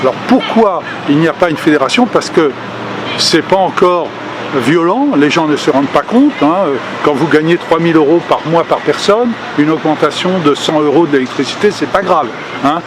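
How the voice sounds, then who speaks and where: A man's voice: on the high side, animated, normal speed. An older man speaking at a public meeting, earnest and hesitant, with many fillers and restarts. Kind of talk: spontaneous political speech. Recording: outdoor recording with street noise.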